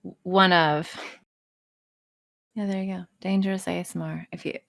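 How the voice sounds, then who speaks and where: A woman's voice: lowish voice, little pitch movement, normal speed, vocal fry, fairly bright tone. A woman chatting casually as a podcast guest, playful, sweary and self-mocking. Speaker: podcast guest, audio roleplay creator. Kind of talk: casual chat.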